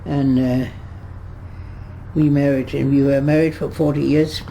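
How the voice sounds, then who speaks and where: Gravelly, halting woman over 100. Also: extremely low pitch, flat delivery, dark tone, a little hiss or hum. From Germany. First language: German. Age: 100s. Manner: halting, many restarts and searches for words. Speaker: interviewee. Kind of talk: reminiscing in conversation. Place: her home.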